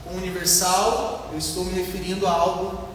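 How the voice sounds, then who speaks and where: High-voiced Brazilian pastor in an echoing hall. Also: male voice, little pitch movement, normal speed. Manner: didactic, repeats points, emphatic, asks and answers questions. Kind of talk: teaching sermon, explaining a Bible passage. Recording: echoey hall, distant mic, faint noise.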